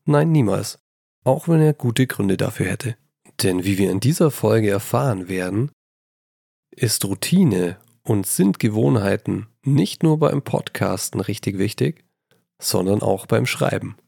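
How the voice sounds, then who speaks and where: Slightly hoarse man with a gravelly voice. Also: fairly low pitch, measured pace, no echo, studio quality. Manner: chatty, self-deprecating, lively emphasis. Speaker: podcast host. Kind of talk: casual solo podcast talk. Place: old childhood bedroom at his mother's house. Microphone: handheld microphone.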